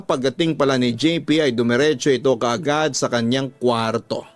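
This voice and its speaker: A man's voice: mid-range voice, no echo. A man with a Filipino accent reading a listener's letter as a scripted, dramatic radio love story.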